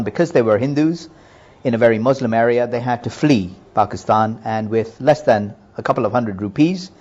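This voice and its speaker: A middle-aged man calmly telling his personal faith testimony in an earnest, measured way. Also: medium pitch, highs cut off. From Hong Kong and Japan. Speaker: businessman giving testimony.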